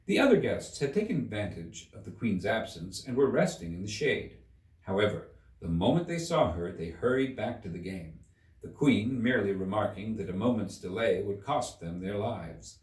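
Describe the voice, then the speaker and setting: A man giving an expressive scripted narration of a story as its reader. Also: lowish voice, normal speed. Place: room inside a museum. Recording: echoey room, distant mic.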